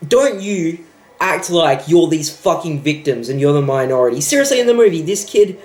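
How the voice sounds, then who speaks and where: A man's voice: fairly high pitch, lively intonation, moderate pace, a little mellow. Young aussie guy ranting n swearing, fed up, sounds like an echoey room. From Australia. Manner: profane, exasperated, sarcastic ranting. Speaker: vlogger. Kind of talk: ranting film review.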